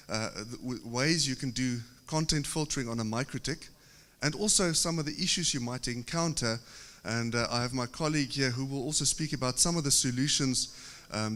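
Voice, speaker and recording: A man from South Africa with a South African accent, giving a technical conference presentation at a podium with steady explanations and occasional filler pauses. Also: mid-range voice. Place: large conference hall. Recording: reverberant conference hall.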